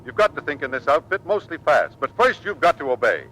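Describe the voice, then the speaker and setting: Male squadron commander, authoritative and animated, stern yet fair, old radio serial. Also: fairly high pitch, brisk. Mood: stern, scolding. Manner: clipped, authoritative. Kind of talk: 1940s radio acting. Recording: faintly noisy vintage radio recording.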